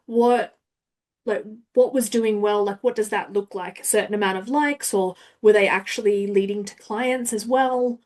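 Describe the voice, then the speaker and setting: A woman hosts a podcast interview at a middling pitch. She is chatty and enthusiastic, sprinkling her questions with casual fillers. Her manner is friendly and conversational. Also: a little mellow. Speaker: podcast host.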